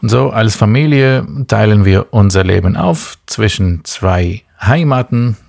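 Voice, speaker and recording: Male guest from Israel, low gravelly voice, slow and patient explainer. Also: expressive, darkish tone. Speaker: interview guest, tour guide. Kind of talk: explaining to children in an interview.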